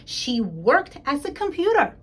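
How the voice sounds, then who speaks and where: A woman with a fairly high voice reads a picture book to children. Her pitch swings in a lively way and she takes her time at a slow pace. She stresses key words and talks straight to her young listeners. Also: slight background noise. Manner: emphatic, addresses young listeners directly, explains words. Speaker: school principal reading a picture book to children. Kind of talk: expressive children's story read-aloud.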